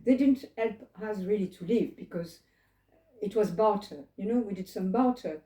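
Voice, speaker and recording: An elderly woman talks to a few listeners in a living room, her voice mellow and warm. She wanders between memories, restarts her sentences and checks whether her listeners follow. The room sounds roomy around her. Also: mid-range voice, moderate pace. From France. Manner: reflective, digressive, asks listeners questions. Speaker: holocaust survivor telling her story. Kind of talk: personal storytelling to a small group.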